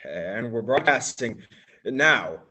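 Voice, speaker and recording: A man's voice: medium pitch, sing-song, slow pace, pushed and bright, full character voice. A young American man playing a melodramatic, self-important radio playwright in a theatrical radio play style. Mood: deflated.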